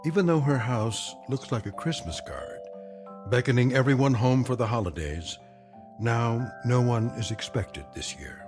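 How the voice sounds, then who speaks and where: A man narrating a scripted drama anthology in a solemn, measured, wry storytelling manner. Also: medium pitch, lively intonation. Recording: music behind.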